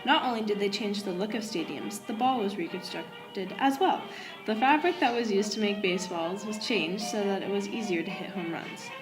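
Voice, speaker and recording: A woman's voice: medium pitch, normal speed. A young woman reading scripted newsreel narration as a student presenter. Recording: roomy echo, music under voice. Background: clearly audible music.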